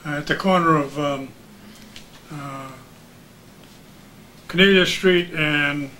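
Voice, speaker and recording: An older man chatting casually as a guest, halting and repeating his words as he remembers. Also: fairly high pitch, pushed and bright, slight background noise. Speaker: guest, broadcasting old-timer. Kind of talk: casual chat.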